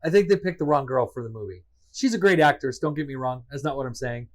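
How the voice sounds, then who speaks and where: A man's voice: medium pitch, quick pace. Podcast guy, jokes at himself, says stuff twice, laughs a lot. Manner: self-deprecating, repeats himself, laughs often. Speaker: podcast co-host. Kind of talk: casual chat.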